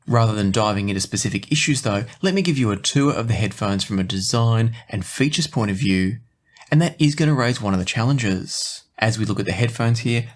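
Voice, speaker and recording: A man presenting a product review as host, explaining details with enthusiasm. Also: mid-range voice. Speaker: host of an audio gear review show.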